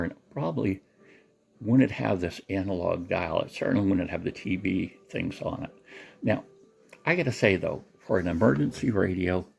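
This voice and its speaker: A man's voice: low-pitched, gravelly, darkish tone, husky, highs cut off. A man giving a casual, unscripted product demonstration in a rambling, matter-of-fact way. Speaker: presenter showing a secondhand gadget.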